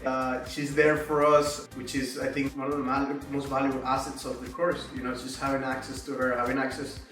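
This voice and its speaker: A man's voice: mid-range voice, little pitch movement, brisk, a bit bright, far from the mic. Man, casual reflective testimonial, very echoey room, faint background music. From Miami. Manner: casual, reflective, frequent "right?" and "you know". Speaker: course student giving a testimonial. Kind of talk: testimonial interview.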